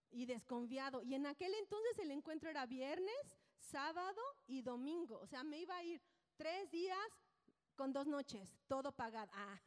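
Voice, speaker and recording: A woman gives a personal testimony to a congregation, telling it as an animated, colloquial story with repeated phrases and acted-out dialogue. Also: high voice. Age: 50s. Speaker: church speaker giving testimony. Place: large indoor hall, speaking to an audience. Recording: church hall with long reverb.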